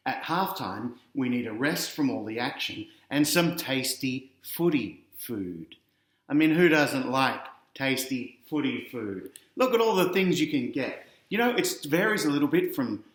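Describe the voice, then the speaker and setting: An Australian man reads a picture book to children in an echoey room. His pitch is average, and he keeps stepping out of the story with casual, chatty asides aimed straight at the listener. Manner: chatty, directly addressing young listeners. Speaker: author reading his picture book aloud.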